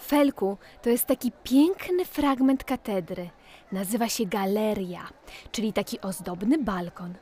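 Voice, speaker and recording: A woman playing a sensible, knowledgeable big sister in a children's audio play, gentle and explanatory. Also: medium pitch, expressive, unhurried, husky. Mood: explaining.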